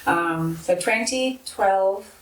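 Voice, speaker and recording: A woman's voice: medium pitch, extremely slow, echoey room. A South African woman in her thirties, chatting casually as an interview guest, often laughing and restarting her sentences. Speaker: guest, MMA fighter.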